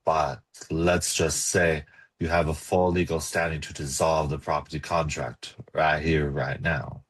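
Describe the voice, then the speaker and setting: An actor playing an earnest, bold male charmer in a romantic comedy table read, with a husky voice. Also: very deep voice, level tone, measured pace, full character voice. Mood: earnest, matter-of-fact. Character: male lawyer, country vet, earnest and bold romcom charmer. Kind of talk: romcom table read acting.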